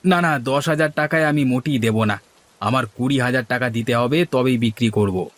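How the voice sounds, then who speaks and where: A man's voice: medium pitch, normal speed, fairly bright tone, close to an everyday voice. A man voicing village men in a cartoon, from schemers to worriers, with theatrical, exaggerated dialogue delivery. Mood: commanding.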